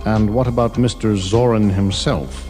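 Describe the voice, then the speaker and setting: A man's voice: fairly low pitch, steady tone, unhurried, darkish tone, natural voice. An actor playing a suave, unflappable male British secret agent in an audio storybook. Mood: thoughtful. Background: background music.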